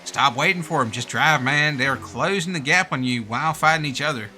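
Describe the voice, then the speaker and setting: Man, very bright put-on American commentary voice. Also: mid-range voice, brisk, slight background noise. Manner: informal, slangy. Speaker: race commentator and streamer. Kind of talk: live sim-racing commentary with casual asides.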